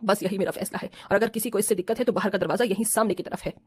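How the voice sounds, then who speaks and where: Woman acting a stern, fast-talking mother-in-law, slightly husky. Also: medium pitch, fairly even intonation, lightly acted. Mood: scolding, firm. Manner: scolding, firm, lecturing. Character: stern, righteous mother-in-law who scolds and decrees. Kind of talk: Hindi cartoon family drama acting.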